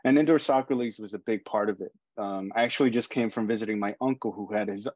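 A man's voice: on the low side, fast, a little mellow, no echo, highs cut off. A man in his forties from Ecuador, an artist speaking as a guest on a video call, reflecting thoughtfully on his life. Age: 40s. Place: home room.

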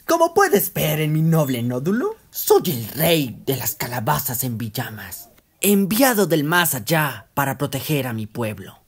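A man's voice: a little high, big pitch swings, unhurried, slightly rough, full character voice. A man with a Latin American accent voicing a manic, melodramatic young male cat in a theatrical cartoon dub. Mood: grandiose. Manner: theatrical, dramatic delivery. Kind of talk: comic dub voice acting.

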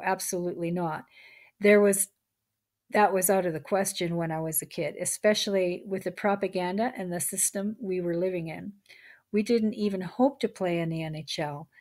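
A woman reads her own nonfiction book aloud in a steady, measured delivery. Her voice sits at an ordinary middle pitch for a woman.

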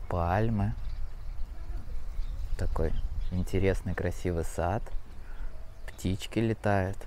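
A man's voice: low voice, steady tone, slow pace, a little mellow, slight background noise. A man in his thirties casually narrating a road trip as a vlogger, pointing out the sights around him. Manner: easygoing, pointing things out. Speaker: travel vlogger. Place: outdoors by a roadside gas station.

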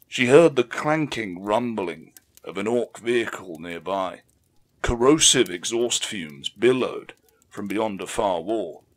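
A man's voice: on the low side, fairly bright tone. A man narrating a fantasy battle story as a scripted, dramatic audiobook reading.

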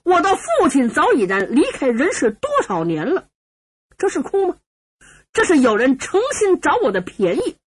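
A woman's voice: high-pitched, wildly swooping pitch, normal speed. A woman storyteller voicing a proud, hot-tempered older Taoist swordsman who questions things indignantly. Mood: angry indignation.

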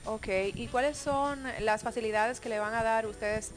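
Brisk middle-aged TV host. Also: female voice, on the high side, steady tone. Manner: brisk, guiding interviewer. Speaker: TV show host. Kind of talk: studio TV interview. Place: TV studio set. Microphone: headset mic.

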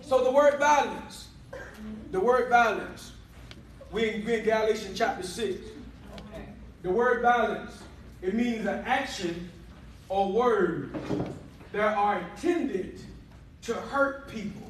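A man preaching a sermon in a church hall, calling for responses and rising to shouts, recorded by a far-off camera. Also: high voice, slow pace, long pauses, slight background noise. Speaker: pastor.

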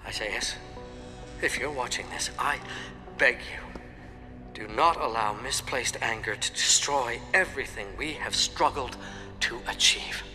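An actor playing a polished organisation leader in a video game, giving an earnest, solemn, pleading public speech. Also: male voice, mid-range voice, unhurried, close to an everyday voice. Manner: oratorical, earnest. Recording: long hall reverb, music over speech.

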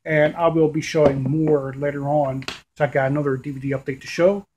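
A man's voice: fairly high pitch, level tone, a little fast, darkish tone, dull audio, a little hiss or hum. A man in his thirties casually showing off his DVD finds at home, rambling with fillers and restarts, recorded on a webcam. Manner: rambling, with frequent fillers and self-corrections. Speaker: DVD collector vlogger. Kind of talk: casual video update. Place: small room at home, indoors.

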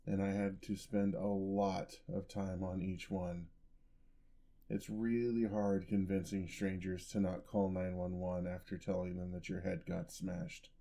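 Man playing a low, gravelly, gentle dullahan boyfriend. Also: little pitch movement, unhurried. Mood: mildly exasperated. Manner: soft-spoken, sheepish, hesitant and affectionate. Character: gentle, clumsy male dullahan boyfriend, centuries old. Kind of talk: ASMR roleplay, intimate acted monologue.

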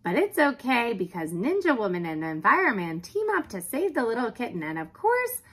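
A woman voicing a chatty, excitable female pet dog who rambles on breathlessly, in an animated storybook read-aloud. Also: a little high, moderate pace, husky, pitched above the performer's own voice, heavily acted. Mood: chatty, eager.

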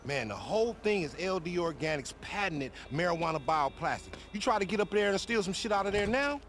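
A man's voice: high-pitched, sing-song, a little fast, a bit bright, slight background noise. A man playing a boastful, foul-mouthed young hustler in a video game cutscene, with an American accent and slangy, cajoling banter. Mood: excited, boastful.

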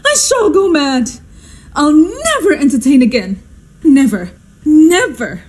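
Woman acting a histrionic, high-voiced society hostess. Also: sing-song, slow pace, darkish tone, exaggerated voice. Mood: exasperated, indignant. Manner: theatrical, exclamatory, flustered. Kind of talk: stagey radio drama acting.

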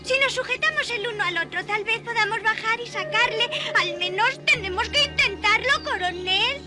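A woman voicing a small, earnest, plucky young bee girl in a dubbed children's cartoon, childlike and expressive. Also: extremely high pitch, moderate pace. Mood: urgent, pleading. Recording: loud music behind the voice.

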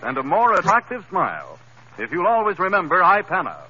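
A man working as a 1930s radio announcer, reading commercials with a smooth, earnest delivery. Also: high-pitched, wildly swooping pitch, fairly quick, bright, forceful tone. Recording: dull old radio broadcast with faint hiss.

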